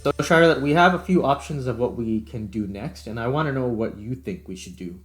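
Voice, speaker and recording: A man's voice: medium pitch, brisk, soft background music. A man hosting a video, talking casually and thinking aloud as he explains game development. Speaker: video creator and host.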